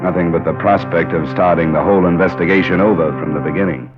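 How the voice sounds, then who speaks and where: A male actor plays a cool American insurance investigator from a fifties radio crime serial. His low voice is clipped and matter-of-fact, full of skepticism. The sound is a dull vintage broadcast with music clearly behind it. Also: steady tone, quick pace, close to an everyday voice. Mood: grave narration. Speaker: lead actor and first-person narrator. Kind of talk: 1950s American radio crime drama acting. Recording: muffled old radio recording, music behind.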